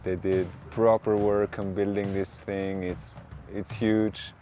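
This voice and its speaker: A man from South Tyrol, a skier, speaking relaxed and excited in a documentary interview. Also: on the low side, slow pace, a little hiss or hum. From South Tyrol, Italy. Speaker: athlete.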